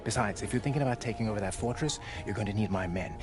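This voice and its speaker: An actor playing a wry, cocky and greedy mercenary captain in a dramatic video game cutscene. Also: male voice, on the low side, rapid-fire, close to an everyday voice. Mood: persuasive. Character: roguish, greedy mercenary captain, a man, wryly pragmatic. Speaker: mercenary leader. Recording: music behind the voice. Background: clear game score.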